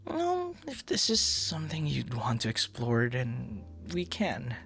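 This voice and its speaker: A man performs a flustered young romantic in an ASMR roleplay, tender and halting. His speech is unhurried and expressive, with a creaky edge to the murmured delivery. He sounds shy yet openly smitten. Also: medium pitch, soft background music, exaggerated voice. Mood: flustered, earnest. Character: smitten, flustered young male stranger turned romantic suitor. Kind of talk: intimate ASMR boyfriend roleplay.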